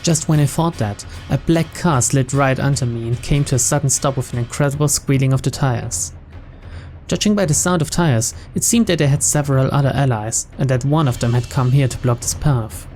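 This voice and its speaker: A man's voice: medium pitch, brisk, a little mellow, faint music behind. A man playing through a visual novel, reading its narration aloud in English as a storyteller. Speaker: video game let's player.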